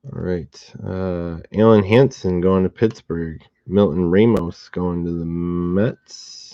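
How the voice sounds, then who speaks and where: Low, slow, slightly hoarse man. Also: no room sound, lo-fi sound. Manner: steady, unhurried listing of cards and recipients. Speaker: card break host. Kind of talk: relaxed live box-break commentary.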